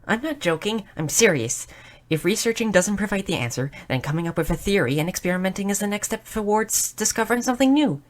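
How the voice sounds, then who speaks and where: A woman voicing a shy, nerdy, curious young goblin girl in a roleplay audio drama, speaking softly and earnestly with small stammers. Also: mid-range voice, animated, fast, close to an everyday voice. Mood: playful, eager. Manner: soft, hesitant, stammering, earnest.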